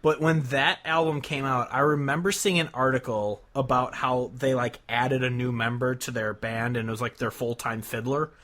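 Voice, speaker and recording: A man with a mid-pitched voice talks casually as a podcast co-host. He is blunt and exasperated, grumbling his way through his opinions and swearing freely. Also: steady tone, normal speed, hardly any pauses, a bit bright. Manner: blunt, profane, exasperated complaining. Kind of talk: casual music discussion.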